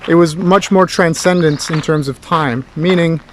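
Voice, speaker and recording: Male tutor, fairly high voice, informal lecturing, rambling and reflective, some background noise. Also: normal speed, poor audio. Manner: rambling, thoughtful, with rhetorical questions. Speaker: philosophy tutor.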